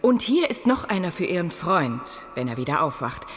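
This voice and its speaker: Low-voiced, gracious bride. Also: female voice, big pitch swings, normal speed, big-room sound. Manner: courteous. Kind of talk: German radio play acting.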